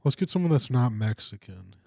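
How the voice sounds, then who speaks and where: A man's voice: medium pitch, sing-song, brisk, creaky, dark tone, no echo. A man co-hosting a comedy podcast, tossing in dry one-line quips during casual studio banter. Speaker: podcast co-host.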